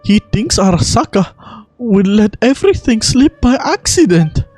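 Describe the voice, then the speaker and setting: A woman puts on a small childlike forest creature for a game. The voice is raised and lively in pitch, timid and naive, with faint music behind. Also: a little slow. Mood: naive, friendly. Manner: speaks of self in third person, naive.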